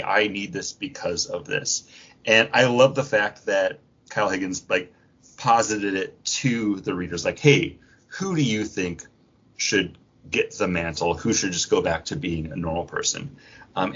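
A man's voice: lowish voice, fairly bright tone, husky, highs cut off. A man co-hosting a podcast in casual, jokey, digressive chat, slipping into imagined quotes. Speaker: podcast co-host. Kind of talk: casual comic book discussion.

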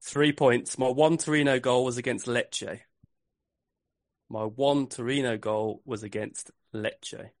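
A man hosting a casual podcast quiz, reading clues slowly and repeating them. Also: medium pitch, fairly even intonation, no echo, clean studio sound. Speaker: podcast host and quizmaster.

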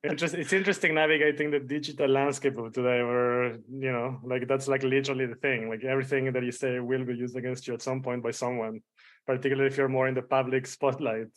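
A man's voice: medium pitch, level tone, fast. A man in his forties from Mexico and Israel with a Spanish accent, talking casually as a podcast guest. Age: 40s. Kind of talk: casual interview conversation.

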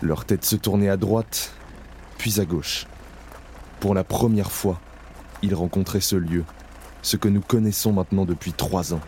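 Deep solemn male narrator over music. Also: dark tone. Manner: slow, solemn, measured. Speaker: narrator playing Isaac, camp prisoner. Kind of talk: audio drama narration. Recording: clear music bed under voice.

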